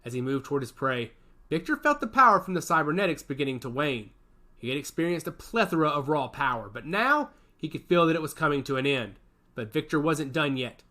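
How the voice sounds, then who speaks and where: A man's voice: on the high side, lively intonation, brisk, bright, forceful tone. A man reading a science fiction action story aloud as its narrator, with a dramatic storytelling delivery.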